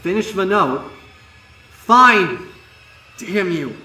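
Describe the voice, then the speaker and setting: A man voicing a young female pony student, haunted and guilt-ridden, recounting horror in a dramatic, deliberate way. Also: high voice, big pitch swings, pushed and bright, pitched above the performer's own voice, exaggerated voice. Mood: bitter, distraught. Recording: echoey, distant, music behind.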